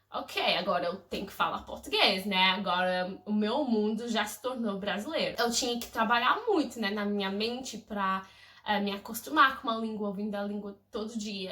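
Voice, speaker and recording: A young woman from England, a native English speaker, talks casually to camera. Her tone is bright and her manner gushing and effusive. The sound is echoey, with the mic set back from her. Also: mid-range voice, distant mic. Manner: effusive, gushing, lively storytelling. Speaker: vlogger.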